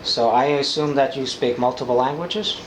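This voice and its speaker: A man conducts an informal interview in a medium-pitched voice, prompting with questions and repeated-back answers. The room is echoey, and there is clear background noise on the recording. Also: moderate pace.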